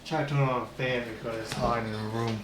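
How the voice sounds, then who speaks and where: A woman streamer giving casual, offhand commentary over gameplay in halting, non-standard grammar. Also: extremely low pitch, fairly even intonation, noisy background. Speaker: game streamer. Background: game music.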